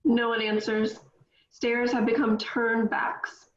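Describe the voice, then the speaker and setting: A woman's voice: fairly high pitch, monotone, slow pace. A woman reading stage directions aloud at a script table read. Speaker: script reader. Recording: echoey room, dull lo-fi sound.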